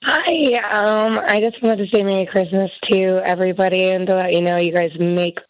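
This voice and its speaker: A woman's voice: mid-range voice, fairly even intonation, normal speed, lo-fi sound, a little hiss or hum. A woman caller phoning a morning radio show to wish everyone a merry Christmas.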